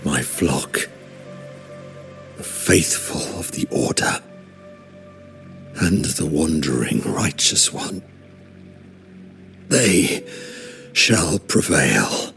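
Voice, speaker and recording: A man's voice: a bass voice, very animated, extremely slow, creaky, pauses often, a bit bright, faint music behind, full character voice. An actor playing a proud, doomed male zealot leader who speaks in solemn, grandiose declarations in a video game. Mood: defiant.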